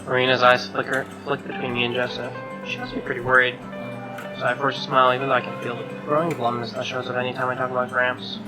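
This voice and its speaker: A man's voice: mid-range voice, little pitch movement, fast talker, music in the background, low-quality recording. A man reading a visual novel aloud as narration, with offhand reactions of his own.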